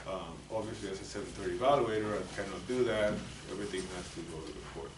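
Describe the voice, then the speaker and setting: An older man with a Spanish accent gives a soft-spoken, hesitant lecture with plenty of ums and uhs. His voice sits fairly low. He is heard from across an echoey, noisy meeting room. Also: fast talker, distant mic. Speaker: psychologist presenting to lawyers. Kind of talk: continuing-education lecture.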